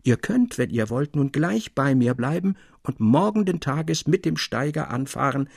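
A man reading the part of an earnest middle-aged mine master in a storybook, with grave, formal, measured speech. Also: mid-range voice, animated, creaky, darkish tone, breathy edge, dry recording, clean studio sound, pitched above the performer's own voice. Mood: solemn, earnest.

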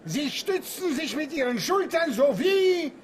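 A high-voiced boastful circus boss. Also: male voice, big pitch swings, measured pace, a little hiss or hum, full character voice. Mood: announcing. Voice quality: theatrical barker delivery. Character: boastful male circus director, showman. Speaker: circus director. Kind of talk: radio play acting.

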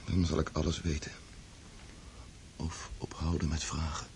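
A very deep-voiced young Russian nobleman, brooding and unhappy. He speaks with polite hesitation, as in a radio play. The recording has a noticeable layer of noise. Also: flat delivery, unhurried, creaky, heavily acted. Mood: brooding, hushed. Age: young adult. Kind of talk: radio drama acting.

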